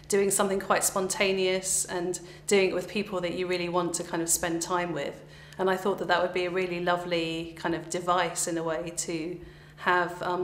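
A woman's voice: fairly low pitch, a bit bright. A woman in her thirties with an English accent, explaining her event in an interview. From England. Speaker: event organiser. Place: bare indoor room, on camera. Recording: echoey room with hum.

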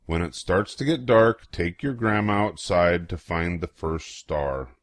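An older man reading a children's picture book aloud in a playful, expressive way, acting out exclamations and questions. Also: sits low, unhurried, dry recording, clean studio sound.

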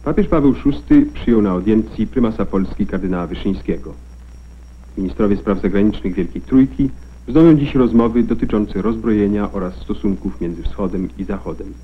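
A man's voice: on the low side, quick pace, pauses often, husky. A man from Poland reads formal scripted radio news into a studio microphone, recorded on old film. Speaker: radio newscaster. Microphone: studio broadcast microphone. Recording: muffled, band-limited old film sound.

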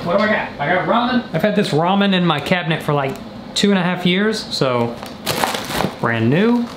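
A young man vlogs with dry, deadpan humor, his pitch jumping up and down as he jokes. He sounds like he is in an echoey kitchen, picked up by a camera with clear background noise. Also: moderate pace. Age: 20s. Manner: deadpan, joking asides. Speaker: vlogger. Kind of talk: comedic cooking vlog chat. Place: indoors, small apartment kitchen. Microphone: camera mic at a short distance.

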